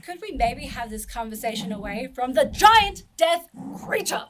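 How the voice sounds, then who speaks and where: A woman's voice: high voice, big pitch swings, moderate pace, very noisy. A woman playing a blunt, jittery, sarcastic young girl in a fantasy audio drama, blurting things out informally. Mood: relieved, nervous.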